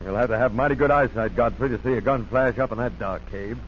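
A man's voice: medium pitch, fast, close to an everyday voice. An actor in a 1940s radio drama playing an urgent, authoritative lawman who leads a hound posse and barks orders. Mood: firm. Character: commanding, level-headed lawman leading a hound posse. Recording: noisy, muffled 1940s radio recording.

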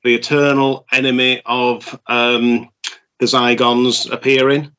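A man co-hosting a podcast, chatting casually with quick jokey asides. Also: medium pitch, level tone, sharp tone, smooth, rough recording. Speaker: podcast co-host.

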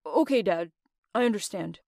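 A woman's voice: mid-range voice, animated, normal speed, vocal fry, dark tone, no echo, voice pushed down. Defensive young boyfriend, voiced by a woman, dry and close. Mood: dismissive. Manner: curt, dismissive. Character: young man, defensive boyfriend who dodges conflict.

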